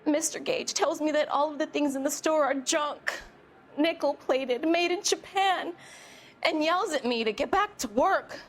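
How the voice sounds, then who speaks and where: An actress playing a nervous, evasive young shop girl giving halting witness testimony in a video game. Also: high voice. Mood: reluctant recounting. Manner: hesitant, halting, plaintive. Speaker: witness being questioned by police. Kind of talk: video game dialogue acting.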